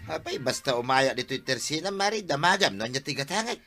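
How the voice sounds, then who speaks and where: A man's voice: mid-range voice, lively intonation, quick pace, over loud music, natural voice. A man with an Ilocano Filipino accent playing a querulous, grouchy villager in an amateur comedy skit. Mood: grumbling. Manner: querulous, insistent. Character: grouchy village man forever complaining about noisy roosters.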